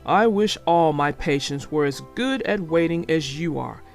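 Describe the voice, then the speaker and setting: A kindly male dentist from a children's storybook, acted out by a woman. He reassures and encourages with expressive, varied intonation over light music. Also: low-pitched, a little slow, pitched below the performer's own voice. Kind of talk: children's storybook read-aloud.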